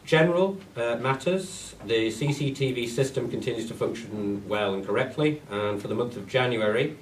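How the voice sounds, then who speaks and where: A man's voice: mid-range voice, moderate pace, distant mic. A man with a cold, sounding bunged up, reading a clerk's report to a council meeting. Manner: plain, steady reading of figures, with asides. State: congested with a cold. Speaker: parish clerk. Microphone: far room mic. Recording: echoey hall, voice off mic, faint hiss.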